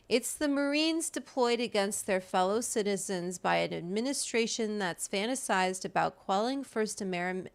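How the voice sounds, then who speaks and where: A woman hosting a talk, reading a written political essay aloud in an emphatic, impassioned way with brief asides. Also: mid-range voice. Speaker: host reading an article aloud.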